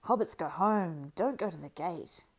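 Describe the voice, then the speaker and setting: A woman voicing a wretched, cringing male creature who wails and pleads in a shrill, squeaky whisper. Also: animated, measured pace. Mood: pleading. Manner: wailing, pleading, singsong.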